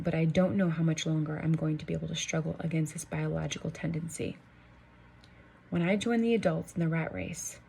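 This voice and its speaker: A woman reads a novel aloud in the first person, her voice low, dark and husky with a gravelly edge. Her delivery is measured, dry and deliberate. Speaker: audiobook narrator.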